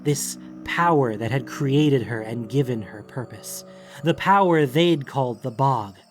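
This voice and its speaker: A man narrating a fantasy audiobook in a solemn, dramatic, deliberate manner. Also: mid-range voice. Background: music.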